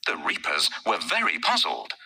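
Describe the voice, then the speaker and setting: A man's voice: a bass voice, wildly swooping pitch, normal speed, creaky, sharp tone, slightly rough. A man narrating a scripted children's story in a dramatic way, as if to young listeners.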